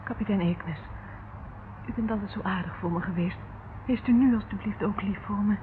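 A woman's voice: medium pitch, moderate pace, natural voice. An actress playing a frightened, bewildered young woman who pleads hesitantly in a radio drama. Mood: pleading. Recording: tinny band-limited old radio recording, noisy.

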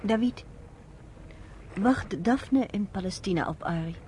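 An actress playing a concerned woman gently questioning a friend in a radio play, on a noisy old recording. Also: mid-range voice, expressive, measured pace, lightly acted. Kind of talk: Dutch radio play acting.

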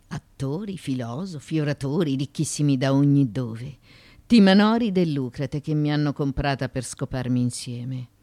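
An actress playing a proud, defiant foreign courtesan of ancient Athens in a radio drama, with a deliberate, declamatory manner. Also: female voice, low voice, warm tone. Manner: deliberate, declamatory, with sudden outbursts. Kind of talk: theatrical radio drama monologue.

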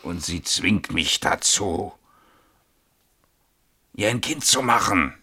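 An actor playing a drunken, brooding husband who talks haltingly as a confidant in a radio drama. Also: male voice, low voice, animated, bright, forceful tone, breathy edge, heavily acted. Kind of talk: 1970s East German radio drama acting.

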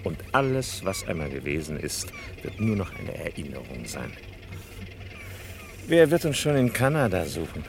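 A confident fugitive doctor with a low voice that swings dramatically up and down. He is suave and condescending in a theatrical 1960s radio-play manner. The old recording carries a faint noise. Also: huge pitch swings, normal speed, heavily acted. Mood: smug, confident. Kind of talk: 1960s German radio crime drama acting.

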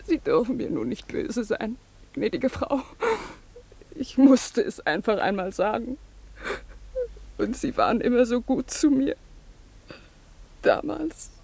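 A nostalgic, devoted former governess in a radio play. She is formal, hesitant and anxious to please, with a fairly high, soft-toned voice. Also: big pitch swings, takes it slowly, vocal fry, pauses often, husky, exaggerated voice. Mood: apologetic. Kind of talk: German radio drama acting.

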